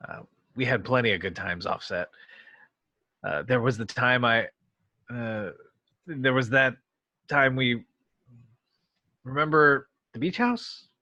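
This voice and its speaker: A man playing an earnest actor famed as a heroic sci-fi captain in an amateur table read, halting and sheepish at times. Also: mid-range voice, sharp tone, no room sound, highs cut off. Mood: sheepish, nostalgic.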